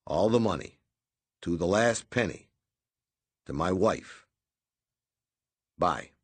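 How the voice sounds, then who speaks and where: A man voicing a tough, curt man giving blunt orders over the phone with quiet threats. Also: low-pitched, lively intonation, slow pace, breathy edge, heavily acted. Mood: threatening. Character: hard-bitten male stalker, curt and tough.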